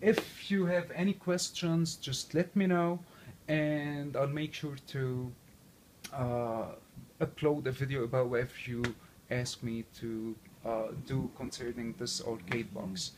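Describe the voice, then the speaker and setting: A man's voice: medium pitch, steady tone, takes it slowly, darkish tone, a little hiss or hum. A young man with a foreign accent casually reviewing a game console, rambling with lots of fillers and restarts. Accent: non-native English accent. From born and raised outside the US. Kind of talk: casual unscripted walkthrough.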